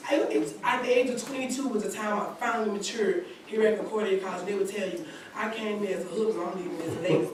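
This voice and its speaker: A young woman in her twenties gives an earnest testimony, her long sentences full of restarts. She sounds far from the microphone, and her voice rings through a very echoey church hall with clear background noise behind her. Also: mid-range voice. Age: 20s. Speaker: college student speaking at a community seminar. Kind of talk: personal testimony at a gathering.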